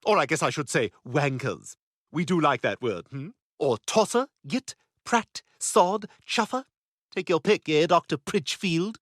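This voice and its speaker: A precocious baby boy with a clipped British accent, talks down to everyone in a lifted, bouncing voice that swoops high and low. He sounds smug and cutting, picking people apart in a dry, close recording. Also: lively intonation, measured pace, no room sound. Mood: mocking. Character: arrogant, precocious male baby with a posh voice. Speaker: therapy patient. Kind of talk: animated sitcom voice acting.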